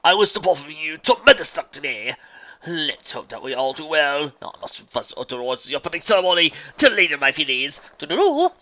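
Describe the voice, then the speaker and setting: A man voicing a jovial, flattering male horse who organizes shows, with a grandiose, theatrical and effusive delivery. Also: a little high, fairly quick, lo-fi sound, voice pushed up. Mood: cheery farewell. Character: grandiose, jovial stallion exposition organizer, businesslike yet flattering.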